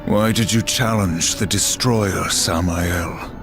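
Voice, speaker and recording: A man voicing a cold, stoic warrior Horseman in a video game, terse and slow. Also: deep voice, music in the background. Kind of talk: video game cutscene acting. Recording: game score under the voice.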